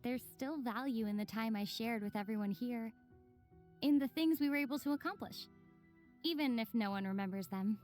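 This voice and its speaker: A gentle young female traveler played by a woman in a video game, soft-spoken and reflective. Her voice is fairly high and mellow, with faint music behind. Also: moderate pace, natural voice.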